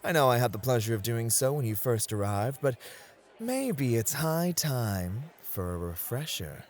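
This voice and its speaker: A man playing a suave, playful club host in a flirty ASMR roleplay, with smooth, teasing, theatrical hospitality. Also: medium pitch, sing-song, a little slow. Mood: courteous, showy. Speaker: club host showing a guest around.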